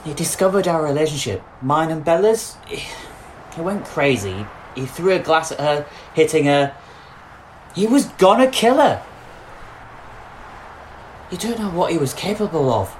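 An actor playing a distraught, bitter suspended policeman in an audio drama, speaking in an anguished, halting way. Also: fairly high pitch, expressive, slight background noise, rough recording. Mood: defensive, anguished. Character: distraught suspended young policeman, bitter, guilt-ridden lover. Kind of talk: audio drama monologue and dialogue.

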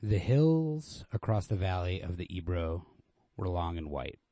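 A man with a low, mellow voice, recorded close and dry. He sounds informal and unrehearsed, like a host casually reading a story aloud. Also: big pitch swings, a little slow, no room sound. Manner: informal, self-deprecating, unrehearsed.

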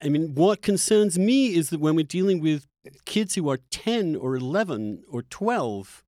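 A man hosting a conversational interview, speaking earnestly and haltingly as he circles back to rephrase his questions. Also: a little high, lively intonation, darkish tone, no echo, studio quality. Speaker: podcast host and interviewer.

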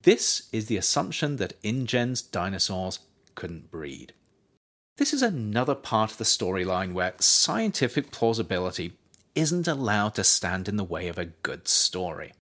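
A man reads his own nonfiction book with a somewhat low voice that rises and falls a great deal. His delivery is measured and reflective, and he leans hard on key words. This is scripted narration. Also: sing-song, fairly bright tone. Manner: measured, reflective reading with pointed emphasis.

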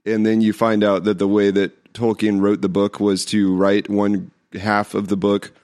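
A hoarse man co-hosting a podcast, joking wryly in casual chat with stammered restarts. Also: lowish voice, completely flat delivery, normal speed, a little mellow. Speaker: podcast co-host.

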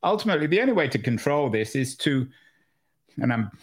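A man's voice: mid-range voice, very animated, a little fast, breathy edge. A man hosting an interview, putting probing questions in a conversational way and qualifying his wording as he goes. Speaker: podcast interviewer, host.

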